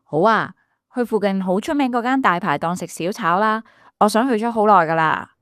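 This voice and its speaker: An actress with a Hong Kong Cantonese accent playing a chatty young office worker in a radio drama, casual and expressive with a friend. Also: female voice, mid-range voice, normal speed, no echo, clean studio sound, lightly acted. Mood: eager, friendly.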